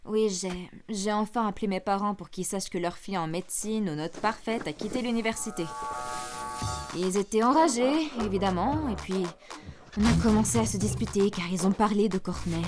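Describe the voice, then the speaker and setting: A woman playing an anxious, self-deprecating young university dropout in an animated comedy dub, dry, rambling and resigned. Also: medium pitch, moderate pace, music in the background, close to an everyday voice. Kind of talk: animated slice-of-life comedy fan dub.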